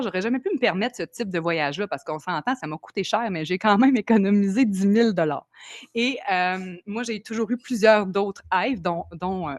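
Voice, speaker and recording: A woman's voice: medium pitch, dry recording, clean studio sound. A woman with a Québécois accent, chatty and upbeat, hosting a live video call presentation and greeting people from the chat. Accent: Québécois French. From Quebec, Canada. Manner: chatty and upbeat, reads out chat names, frequent fillers. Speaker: presenter of an online sales meeting. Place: home in Tulum.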